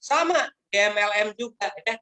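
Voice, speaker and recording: A woman's voice: medium pitch, expressive, normal speed, sharp tone. A woman leading a motivational network marketing training over a video call, speaking emphatically in a lecturing manner and posing rhetorical questions.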